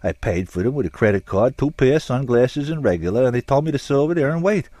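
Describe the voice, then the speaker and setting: A man's voice: mid-range voice, animated, fast, warm tone, no echo, pitched above the performer's own voice, lightly acted. A man voicing a nervous, flustered middle-aged male burglar in an audiobook, jumpy and whining as he protests his innocence. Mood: protesting. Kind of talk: audiobook character voice.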